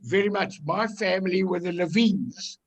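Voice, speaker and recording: A man in his eighties with a South African accent, reminiscing casually in a halting way and repeating himself. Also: sits high, lively intonation. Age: 80s. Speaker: audience member on a virtual tour.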